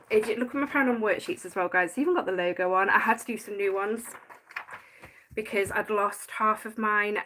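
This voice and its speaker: Chatty woman, bright voice. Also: medium pitch, slight background noise. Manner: chatty, laughs often, reads from notes. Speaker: paranormal channel host. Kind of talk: casual live-stream chat.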